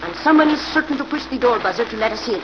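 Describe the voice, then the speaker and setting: An actress playing a cool, commanding female crime boss in a 1940s radio drama, giving brisk, imperious orders. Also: on the high side, animated, natural voice. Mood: tense, controlled. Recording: muffled, noisy old radio recording.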